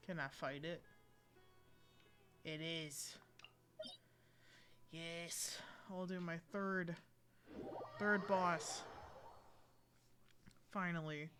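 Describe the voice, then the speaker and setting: A woman doing casual live gameplay commentary as a streamer, rambling and thinking aloud. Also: on the low side. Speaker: game streamer. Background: loud video game music and battle sounds.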